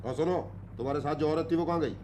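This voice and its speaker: An actor playing a bossy, impatient construction-site foreman, scolding labourers in hurried colloquial speech in a radio drama. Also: a little high, darkish tone, a little hiss or hum, close to an everyday voice.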